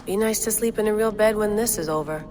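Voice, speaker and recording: A woman's voice: medium pitch, fast, over music, close to an everyday voice. A woman playing a driven, troubled modern heroine in naturalistic video game dialogue. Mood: troubled, earnest.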